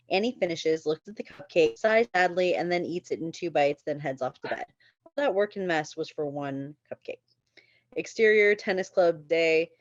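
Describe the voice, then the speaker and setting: A woman's voice: fairly low pitch, no echo, dull audio. A woman reading stage directions as scripted narration at a screenplay table read.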